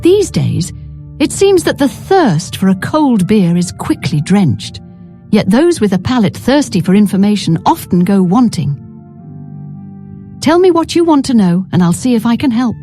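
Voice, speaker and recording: Tavern keeper for a fantasy game, played by a woman: theatrical, gossipy, very expressive pitch, warm soft-edged tone. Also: measured pace, faint music behind. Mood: inviting, knowing. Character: chatty female tavern keeper trading in local gossip. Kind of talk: scripted fantasy video game dialogue.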